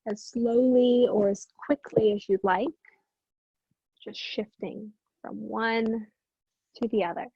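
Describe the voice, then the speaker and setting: A woman teaching meditation, speaking gently and unhurriedly with long pauses in soothing guided instruction. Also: on the high side, steady tone, creaky, husky, dry recording, highs cut off.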